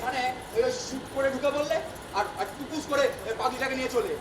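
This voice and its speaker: Guy doing flustered old god in a stage comedy, really high voice, stammery n pompous, echoey far off mic. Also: quick pace. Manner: stammering, repetitive, pompous when addressing crowds. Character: flustered, blustering old male God, comically self-important. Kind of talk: comic Bengali stage play acting. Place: theatre stage at a drama festival. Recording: echoey distant stage recording, noisy audience.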